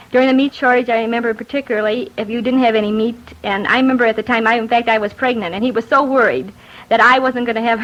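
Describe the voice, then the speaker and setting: A woman giving earnest, slightly halting testimony as a witness. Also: on the high side, fairly bright tone. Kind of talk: recorded personal testimony. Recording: dull old radio recording.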